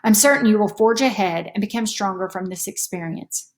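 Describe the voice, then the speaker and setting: A woman voicing a kindly, encouraging older male relative in a storybook, gentle and reassuring. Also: mid-range voice, brisk, close to an everyday voice.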